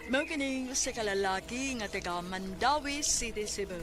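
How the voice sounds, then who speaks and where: A woman's voice: a little high, normal speed. A woman with a Cebuano Filipino accent reading scripted narration to introduce a radio drama. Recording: music under the voice.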